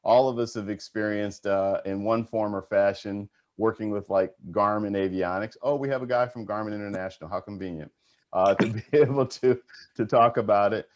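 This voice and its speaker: A man's voice: fairly low pitch, fairly even intonation, normal speed, muffled recording. A man hosting a panel program, conversationally introducing guests and putting questions to them. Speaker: host of an aviation panel program.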